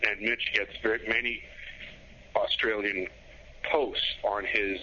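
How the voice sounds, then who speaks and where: A man's voice: lowish voice, steady tone, slow pace, pushed and bright, lo-fi sound, slight background noise. A man appearing as a guest on a talk show, speaking in an easygoing, loosely phrased way. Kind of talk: casual chat, remote guest.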